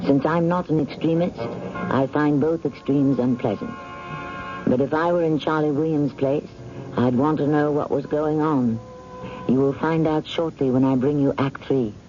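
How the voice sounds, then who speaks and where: A man hosting a vintage radio drama, narrating from a script in a wry, measured, urbane theatrical manner. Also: fairly high pitch, fairly even intonation. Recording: muffled vintage radio broadcast. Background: loud clear music.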